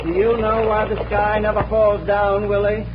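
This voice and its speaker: Man playing high-pitched corny ventriloquist, showman patter, muffled old radio. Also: completely flat delivery, moderate pace, natural voice. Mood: showy. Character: corny male vaudeville ventriloquist. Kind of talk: 1940s radio drama acting. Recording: muffled, noisy vintage radio recording.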